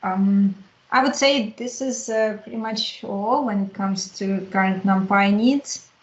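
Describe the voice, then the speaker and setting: A woman from Ukraine with a Ukrainian accent giving a measured webinar talk, pausing often with fillers. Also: mid-range voice, reverberant, highs cut off. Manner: measured, with frequent fillers and pauses. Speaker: open-source community speaker. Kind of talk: webinar presentation.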